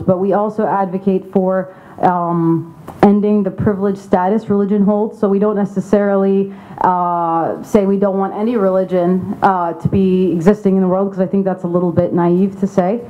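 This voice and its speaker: A young adult woman from Saudi Arabia giving a candid, informal conference speech as an advocacy representative. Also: mid-range voice. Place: conference venue. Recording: tinny phone-like sound.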